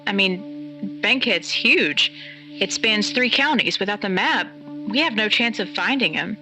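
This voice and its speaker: A woman's voice: medium pitch, animated, measured pace, sharp tone, faint music behind, rough recording. A woman playing a pragmatic, skeptical character in an audio drama, blunt and sardonic in a naturalistic way. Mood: exasperated, practical.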